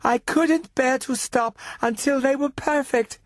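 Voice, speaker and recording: Man putting on high, fussy old aunt. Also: a little slow, no echo, clean studio sound. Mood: chatty, fussy. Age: older. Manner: genteel, fussy, precise. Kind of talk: radio story character voice.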